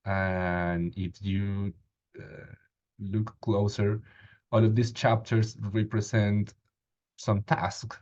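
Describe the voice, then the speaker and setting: A man's voice: on the low side, flat delivery, very slow, a little mellow, lo-fi sound. A man in his thirties hosting an online meeting, hesitant with many fillers, on a webcam. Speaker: meeting host. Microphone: video call webcam.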